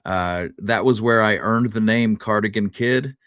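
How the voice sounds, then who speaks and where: A man's voice: lowish voice, a little slow, a bit bright, no echo, studio quality. A man speaking casually as a podcast co-host, with an easygoing manner.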